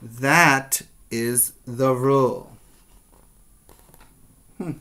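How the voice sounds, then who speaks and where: Very slow-paced man teaching young children. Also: mid-range voice, sharp tone. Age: middle-aged. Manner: slow, deliberate reading with questioning asides. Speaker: preschool teacher. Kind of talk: children's story read-aloud with asides to pupils. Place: small plain room indoors.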